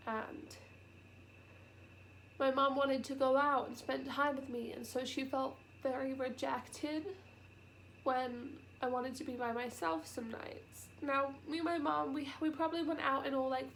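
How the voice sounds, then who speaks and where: A young woman speaks into her camera at home, stuffy and sniffly from a cold, and close to tears. Her voice sits a little high, and she talks softly, pausing often and trailing off. A faint hiss runs under the recording. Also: vocal fry, low-quality recording. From United Kingdom. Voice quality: sniffly, nasal. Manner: halting and soft-spoken, with many pauses and fillers. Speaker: vlogger. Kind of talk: emotional personal vlog.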